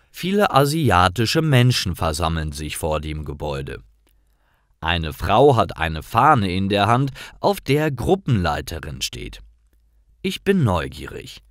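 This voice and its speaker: A man's voice: low-pitched, fairly bright tone, no echo, studio quality. A man narrating simple scripted stories for language learners, carefully, in short sentences.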